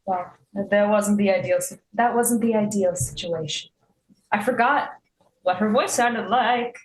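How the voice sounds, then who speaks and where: A woman playing in a tabletop game, talking slowly and hesitantly in casual chat. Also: mid-range voice. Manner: slow and hesitant, with laughs. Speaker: player. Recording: echoey room, faint noise.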